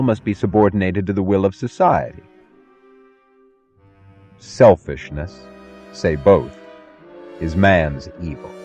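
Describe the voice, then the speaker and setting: A low-voiced man narrates a scripted speech with solemn weight. His delivery is oratorical and emphatic, moving widely in pitch over faint music. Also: darkish tone.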